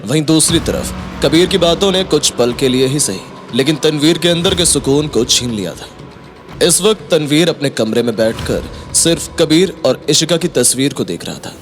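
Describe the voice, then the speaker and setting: Male narrator, slightly high voice, dramatic storytelling read over prominent music. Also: expressive, normal speed. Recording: loud music behind the voice.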